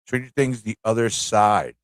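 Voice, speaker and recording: A man's voice: on the low side, normal speed, clean tone, no echo, studio quality. A man hosting a casual live stream, thinking aloud in an easygoing, informal way as he chats, reads aloud and reacts.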